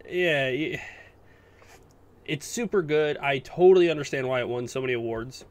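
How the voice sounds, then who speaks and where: Male podcast co-host, mid-pitched voice, casual gamer chat, excitable, rambling, loose with swearing. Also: normal speed. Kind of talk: casual chat about video games.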